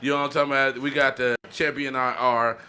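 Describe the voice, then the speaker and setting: A man's voice: mid-range voice, flat delivery, fast talker, pushed and bright. A man hosting a podcast in a casual, hyped, slangy way with repeated catchphrases. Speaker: podcast host. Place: garage. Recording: noisy garage with fan hum. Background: fan noise.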